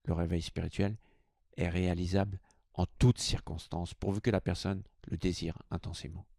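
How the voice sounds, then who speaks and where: Low-pitched man with a gravelly edge. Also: little pitch movement, moderate pace, mellow, no room sound, studio quality. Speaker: host of a spirituality video. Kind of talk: informal video greeting, then scripted explanatory narration.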